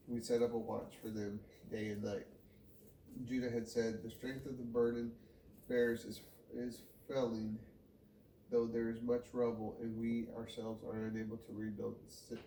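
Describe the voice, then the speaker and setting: A man's voice: medium pitch, dark tone. A man reading scripture aloud, sometimes stumbling and repeating a phrase. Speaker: Bible reader. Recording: roomy, distant mic, faint noise.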